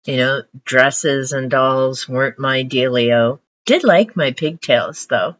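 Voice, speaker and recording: A woman's voice: rumbling low, fairly bright tone. An older woman whose first language is Hungarian, reflecting on her favourite books in a casual vlog to camera. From Canada. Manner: reflective, unhurried storytelling. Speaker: book vlogger. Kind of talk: casual book talk to camera. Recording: muffled lo-fi audio.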